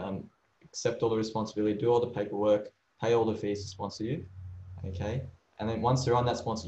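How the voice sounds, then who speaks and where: A man's voice: lowish voice, darkish tone. A man working as a migration agent, walking through visa rules in a casual way, often saying "okay" and "um" and restarting his sentences. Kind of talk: explaining visa rules from slides. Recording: echoey room, slightly dull sound.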